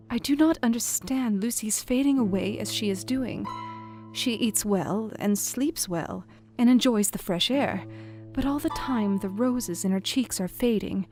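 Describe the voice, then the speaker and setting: A woman playing a worried young Victorian Englishwoman who confides earnestly in her journal in a measured, literary way. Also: medium pitch, darkish tone, lightly acted. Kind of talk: dramatic audio drama diary reading.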